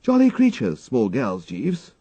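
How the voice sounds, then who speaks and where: A man voicing an excitable, flustered young upper-class English gentleman with an exclamatory manner and dated slang, in an audiobook. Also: medium pitch, measured pace, breathy edge, no room sound, dull audio, voice pushed up, full character voice. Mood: breezy, eager.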